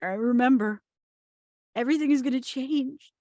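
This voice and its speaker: High female voice, dry room sound: actor as anxious, sentimental bride, plaintive, in a script read. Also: normal speed, dark tone, no echo, lightly acted. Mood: wistful, anxious. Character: Lillian, anxious sentimental bride dreading change. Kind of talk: screenplay table read.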